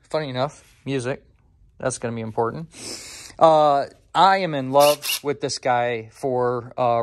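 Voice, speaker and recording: An American man who works as a mechanic, talking in a casual, informal, rambling way as he shows viewers around his workshop. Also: mid-range voice. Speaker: motorcycle mechanic and channel host. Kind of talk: casual workshop walkthrough to camera. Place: motorcycle repair garage workshop, indoors.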